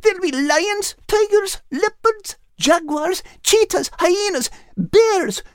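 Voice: very high voice, animated, slow, no echo, clean studio sound, voice pushed up, exaggerated voice. A narrator voicing a small, eager female dog in a children's audiobook. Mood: excited.

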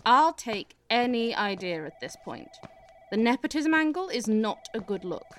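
A harried , played by a woman in a radio play. She speaks in a fairly high voice, exasperated and halting, picking careful political phrases and slipping into swearing. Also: expressive, soft background music, lightly acted. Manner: exasperated, stammering politician's hedging. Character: harried, sweary British Prime Minister, a woman.

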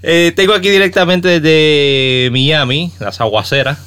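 A man's voice: on the high side, measured pace, fairly bright tone, smooth. A man with a Puerto Rican accent hosting a casual interview podcast, talking in an informal, chatty, slangy way. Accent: Puerto Rican Spanish. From Puerto Rico.

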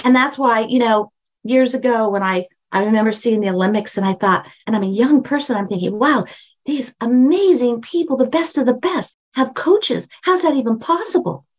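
An older woman with a high voice, a guest on a podcast interview, telling stories with emphatic repetition. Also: moderate pace, lo-fi sound. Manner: emphatic, storytelling, repeats phrases for stress. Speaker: guest, brand strategist and book coach.

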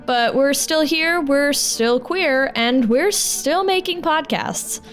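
A woman with a fairly high voice narrates a podcast over music. She reads from a script but keeps it informal, dropping in candid, wry asides. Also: slow. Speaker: podcast host and producer.

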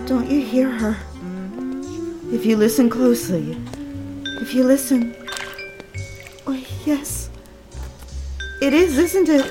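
A woman playing a troubled, drunken wife, bitter and suspicious, with a theatrical, mocking manner in an audio drama. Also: sits high. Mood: haunted, eerie. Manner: theatrical, mocking, slow and needling.

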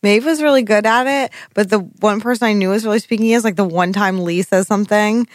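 Rambling recap co-host, mid-pitched voice. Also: fairly quick, no room sound, studio quality. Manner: rambling, trails off searching for names. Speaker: podcast co-host. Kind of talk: casual TV episode recap chat.

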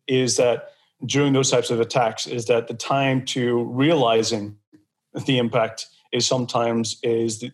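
Male host, casual podcast chat, medium pitch, loose and rambling delivery. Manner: rambling, many fillers and restarts.

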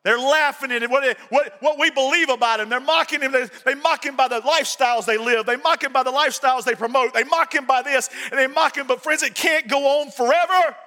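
A man's voice: very high voice, fairly quick, hardly any pauses, pushed and bright. A man preaching an impassioned, confrontational sermon, driving home repeated rhetorical questions. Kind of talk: fiery live sermon to a congregation. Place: large indoor hall with a congregation. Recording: reverberant large hall.

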